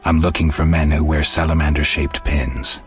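An actor playing a stoic, world-weary monster hunter in a fantasy game, terse and deadpan. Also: male voice, a bass voice, normal speed, faint music behind. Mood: calm, terse. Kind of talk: fantasy video game dialogue.

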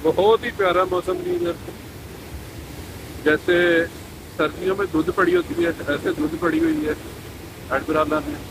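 A middle-aged man, a vlog host cheerfully narrating while holding his phone out on a bridge in the rain. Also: on the high side. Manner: cheerful, lively, repeating praise for the weather. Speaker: vlogger host. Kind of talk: casual travel vlog narration. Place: outdoors by a river, on a bridge in the rain. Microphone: phone held at arm's length. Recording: wind noise and rushing water.

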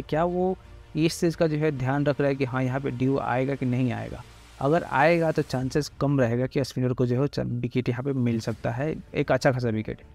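Man, quick-fire delivery, casual rambling explainer, faint background music, close voice-over. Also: medium pitch, darkish tone. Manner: rapid, rambling, heavy filler "jo hai". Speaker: cricket fantasy tipster. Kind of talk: casual Hindi pitch-report explainer over slides. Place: voice-over on screen recording.

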